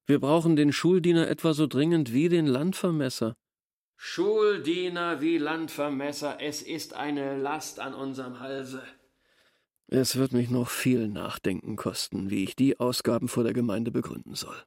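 An actor playing a pompous, grudging village schoolteacher in a literary radio drama, speaking in a pedantic, bureaucratic way. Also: male voice, mid-range voice, steady tone, moderate pace, darkish tone, dry recording, studio quality, natural voice. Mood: indignant, grudging. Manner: pedantic, self-important, bureaucratic. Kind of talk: formal dramatized literary dialogue.

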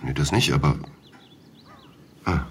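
A man's voice: a bass voice, measured pace, a little hiss or hum. An actor in his thirties playing a hesitant village man and father of two, talking with fillers and small slips. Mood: recalling. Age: 30s. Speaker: villager and witness. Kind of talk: audio drama acting.

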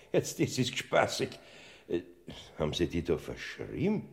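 Old bavarian saint peter, gravelly, voice swings up and down a lot, radio play w faint music. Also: male voice, low-pitched, wildly swooping pitch, takes it slowly, exaggerated voice. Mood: reading. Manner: soothing, turns flustered and bossy. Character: Saint Peter, heavenly gatekeeper, older man, fussy yet kindly. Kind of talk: classic radio play acting.

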